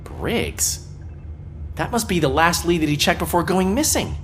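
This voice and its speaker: A woman's voice: fairly low pitch, sing-song, a little slow, slight background noise. An actress playing a loyal, concerned policewoman in a video game, plain-spoken and wry. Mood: focused concern.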